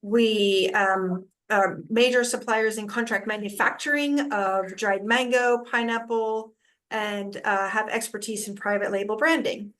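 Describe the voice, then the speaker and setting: A middle-aged woman introducing herself and giving business advice on a virtual panel, explaining in a measured way. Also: mid-range voice, steady tone, fairly bright tone. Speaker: panelist, food company managing director. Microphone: video call.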